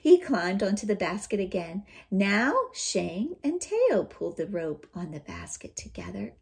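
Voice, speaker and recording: A woman teacher giving an expressive, dramatic storybook read-aloud, pausing to put questions to young listeners. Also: medium pitch.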